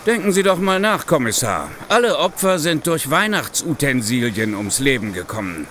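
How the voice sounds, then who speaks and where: An actor playing a gruff, sarcastic male police chief inspector in an audio drama, speaking insistently. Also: mid-range voice, very animated, normal speed, fairly bright tone, a little hiss or hum. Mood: grim, certain. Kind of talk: German audio drama acting.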